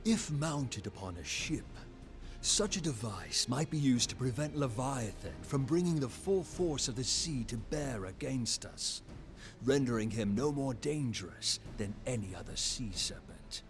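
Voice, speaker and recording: An actor playing a courteous military marshal in a video game, explaining tactics in deliberate, formal, old-fashioned phrases. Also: male voice, medium pitch, sing-song. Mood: measured, confident. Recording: game music underneath.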